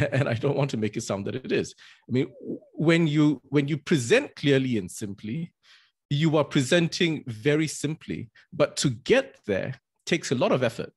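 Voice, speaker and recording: A middle-aged man from Singapore talks earnestly over a video call, pitched at an ordinary middle register. He often restarts his phrases and adds fillers as he explains his points. His voice comes through a webcam microphone. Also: lively intonation, moderate pace, husky. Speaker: barrister and arbitrator. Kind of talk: webinar talk. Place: indoors with virtual green backdrop.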